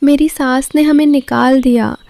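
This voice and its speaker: A woman voicing a humble, devoted young mother bird in an animated story, polite and earnest. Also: high voice, unhurried, gravelly, dry recording. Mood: pleading. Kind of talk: animated moral story dialogue.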